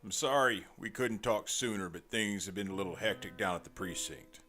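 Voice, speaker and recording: Man acting a desperate, low-voiced crooked detective. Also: a little fast, soft background music. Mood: defensive, angry. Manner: pleading and intense, swinging from hushed to shouting. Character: corrupt male police detective, desperate, possessive, guilt-ridden lover.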